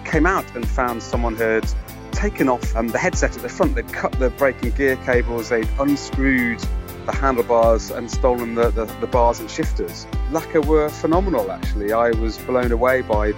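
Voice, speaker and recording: A man's voice: mid-range voice, normal speed. A man giving a conversational customer testimonial. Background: music.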